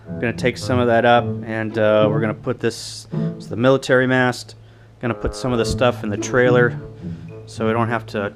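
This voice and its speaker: A man doing a casual show-and-tell to camera in a halting, list-like delivery. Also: medium pitch. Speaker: vlogger.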